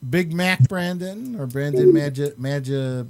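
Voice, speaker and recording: A man's voice: fairly high pitch, measured pace, darkish tone. A man hosting a live online show, chatting casually, laughing often and using many fillers, over a computer mic on a video call. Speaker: host.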